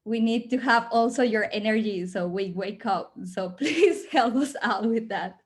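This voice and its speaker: A woman's voice: a little high, measured pace. Mexican woman hosting a zoom call, chatty and upbeat, lots of ums. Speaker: program host. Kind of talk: casual video call presentation. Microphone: video call.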